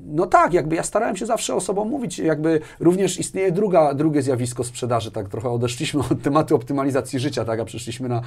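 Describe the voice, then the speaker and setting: Higher-voiced man explaining in conversation. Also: quick pace, hardly any pauses, husky. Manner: animated explaining, repeats words, tags sentences with a questioning "right?". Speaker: interview guest, sales executive. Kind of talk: casual conversational interview. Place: small furnished indoor studio. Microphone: lapel mic.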